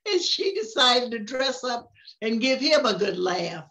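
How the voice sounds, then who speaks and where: An older woman reading to children and chatting gently about a picture book, often laughing softly. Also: high-pitched. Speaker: storytime reader. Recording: dull lo-fi sound.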